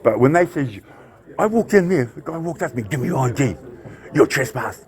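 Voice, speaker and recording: Loud New man pleading his case to police indoors, mid-pitched, insistent. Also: expressive, brisk. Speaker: member of the public confronting police. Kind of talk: heated argument. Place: government office lobby, indoors.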